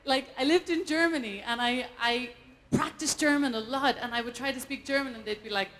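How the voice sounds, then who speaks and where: An Irish woman with a high, bright voice performs stand-up comedy on a live stage. She is animated and self-mocking, and she breaks into laughter often. A faint hiss runs under her voice. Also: brisk. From Ireland. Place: live event stage before an audience.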